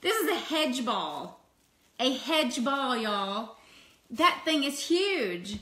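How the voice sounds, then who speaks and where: Chatty woman with a soft Southern accent. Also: high-pitched, slow pace. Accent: mild Southern US. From Louisiana, US. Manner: chatty, greets viewers, laughs often, excitable. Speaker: makeup seller hosting a livestream. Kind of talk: casual livestream chat. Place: indoors at home, at a table. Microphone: phone camera close up.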